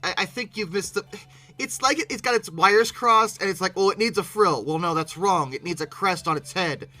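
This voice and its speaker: An exasperated commentator giving an informal, unscripted reaction, animated and often stumbling over repeated words in disbelief. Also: mid-range voice, soft background music. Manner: exasperated, incredulous, animated with abrupt outbursts; halting, repeating words when flustered. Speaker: video creator hosting a reaction commentary.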